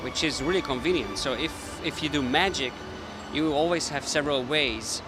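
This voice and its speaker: A man's voice: on the high side, normal speed, music in the background. A man with a Hungarian accent, a mentalist explaining his card tricks casually to the camera while thinking aloud. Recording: street noise with passing traffic.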